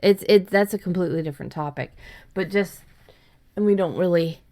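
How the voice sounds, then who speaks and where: A woman's voice: lowish voice, brisk, warm tone. A woman hosting a podcast, explaining things in an informal, conversational way. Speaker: podcast host. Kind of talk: casual conversational podcast discussion.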